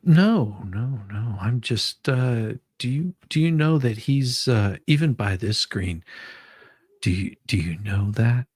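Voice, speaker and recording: A man playing a questioning attorney in an amateur dramatic reading of a deposition, halting and repeating his words. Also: medium pitch, animated, darkish tone, husky, no room sound, clean studio sound. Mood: questioning, patient.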